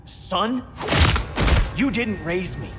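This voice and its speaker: A defiant young vigilante with a high, animated voice. He is contemptuous and accusing, in a video game drama with loud music underneath. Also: extremely slow, heavily acted. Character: angry, defiant young male vigilante, rebellious son. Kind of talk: animated game cutscene acting.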